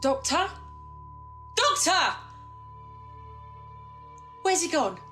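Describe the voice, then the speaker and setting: A woman's voice: very high-pitched, sing-song, very slow, bright, forceful tone, faint music behind, exaggerated voice. A woman playing an anxious, blunt granddaughter in an audio drama. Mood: frantic.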